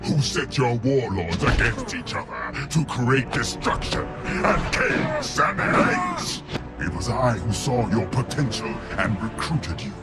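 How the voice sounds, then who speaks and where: Wildly swooping, gloating villain. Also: male voice, mid-range voice, wildly swooping pitch, slow. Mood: gloating fury. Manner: theatrical, declamatory. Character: boastful villainous manipulator, hateful and triumphant. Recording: loud music underneath.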